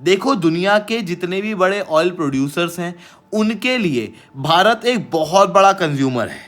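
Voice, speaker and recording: An Indian man lectures in the style of an online exam-prep teacher. His voice sits quite high and has a bright, forceful edge. He explains emphatically and slips English phrases into his explanations. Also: expressive, moderate pace. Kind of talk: explanatory current-affairs lecture to camera.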